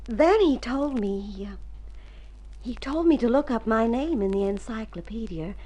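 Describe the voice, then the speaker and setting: A woman's voice: a little high, expressive, measured pace, dark tone, low-quality recording. An actress playing a sweet, earnest young country woman in an old radio drama, ingenuous and fond. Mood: shy, tender. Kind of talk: golden-age radio drama acting.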